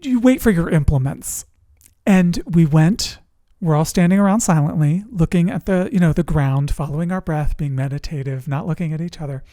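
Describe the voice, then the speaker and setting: A middle-aged coach telling personal stories to camera in a conversational, expressive and deliberate way, with dramatic pauses and repetition. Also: mid-range voice, no room sound, clean studio sound. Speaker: emotional resilience coach and show host.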